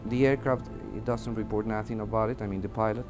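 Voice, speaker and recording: Halting low-voiced man with Mexican Spanish accent. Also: steady tone. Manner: halting, hesitant, searching for words in non-native English. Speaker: air traffic controller giving witness testimony. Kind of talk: interview testimony. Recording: music under the voice. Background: background music.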